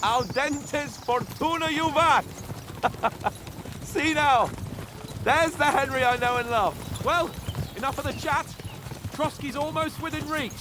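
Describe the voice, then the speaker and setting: An actor voicing a haughty, high-spirited young nobleman in a video game, with a flippant, teasing manner. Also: slow pace, pauses often, background noise. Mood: confident, jaunty.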